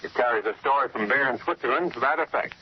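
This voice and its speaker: Male 1940s radio correspondent, mid-pitched, formal and measured, dull vintage broadcast sound. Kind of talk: 1940s radio news report, scripted. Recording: muffled, noisy 1940s shortwave radio broadcast.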